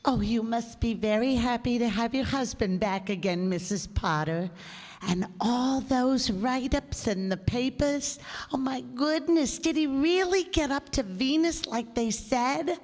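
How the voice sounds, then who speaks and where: Lady acting a nosy chatty checkout woman, slow gushy delivery, live radio play in a big echoey hall. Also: a little high, darkish tone, natural voice. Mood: gushing, curious. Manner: gushing, inquisitive, exclaiming. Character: chatty, nosy, gossipy grocery store cashier woman. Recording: reverberant hall, live stage performance.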